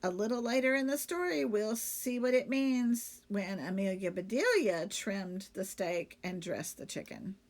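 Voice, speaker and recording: Slow, expressive woman reading to children. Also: medium pitch. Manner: explanatory, addressing young listeners with questions. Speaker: teacher reading aloud. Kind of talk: children's story narration with explanations.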